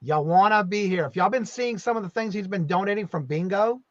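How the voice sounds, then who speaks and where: A man's voice: high-pitched, fairly quick, fairly bright tone, no echo, dull audio. A man hosting a casual live stream, chatty and full of fillers, saying y'all a lot. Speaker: host.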